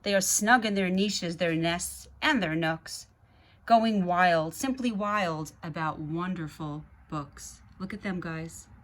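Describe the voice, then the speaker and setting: Woman reading a picture book slowly. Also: fairly low pitch. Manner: measured, addresses young listeners directly. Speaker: guest storybook reader. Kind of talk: children's picture book read-aloud with asides to children.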